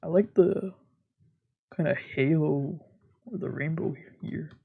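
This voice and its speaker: A husky-voiced woman streamer narrating a visual novel, with casual commentary. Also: low voice, takes it slowly, phone-quality sound. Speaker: let's play streamer.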